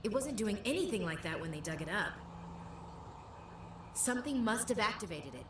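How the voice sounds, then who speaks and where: An actress playing an earnest, urgent young soldier in a science fiction video game. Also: female voice, medium pitch, sing-song, normal speed, background noise, natural voice. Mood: uneasy. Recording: game audio, roomy with chatter.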